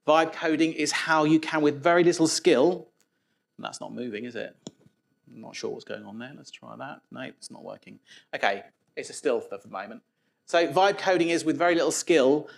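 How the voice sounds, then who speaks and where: A man's voice: mid-range voice, creaky. A man gives a conference talk on developer tools in a casual, conversational way, with plenty of fillers and restarts. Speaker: conference speaker.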